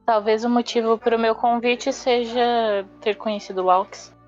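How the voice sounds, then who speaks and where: A woman's voice: on the high side, steady tone, a little slow, darkish tone, muffled recording, faint music behind. A woman with a Brazilian accent playing a tabletop role-playing game, casually improvising as her character.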